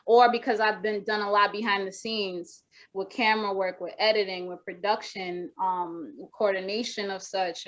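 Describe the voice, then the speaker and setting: A young woman guest rapper with a naturally soft voice, talking casually on a video call, rambling with many restarts and fillers. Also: medium pitch. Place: home room with wooden shelves. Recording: dull lo-fi video call audio.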